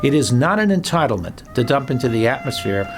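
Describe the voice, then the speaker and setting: Gravelly man, emphatic expert interviewee. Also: medium pitch, sing-song, fairly quick. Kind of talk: unscripted documentary interview. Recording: music laid over the interview.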